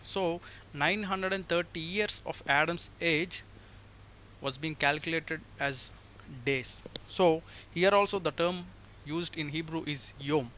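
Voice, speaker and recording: A man's voice: a little high, a bit bright. A man in his twenties with an Indian accent, explaining scripture in a formal, didactic way and repeating key phrases. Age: 20s. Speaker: religious teacher answering criticism. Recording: faint background noise.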